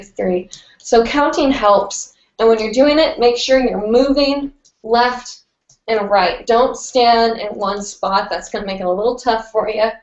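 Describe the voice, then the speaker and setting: A woman's voice: fairly high pitch, highs cut off. Chatty young woman with stuffy nasal voice. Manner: chatty, rambling, with many fillers. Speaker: hooping instructor answering viewer questions. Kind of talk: casual live-stream Q&A.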